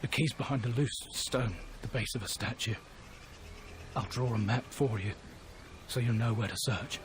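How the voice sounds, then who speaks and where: A man's voice: medium pitch, moderate pace, background noise, natural voice. An actor playing a wounded male soldier in a video game, weary and regretful, resigned to his death. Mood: earnest. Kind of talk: video game cutscene acting.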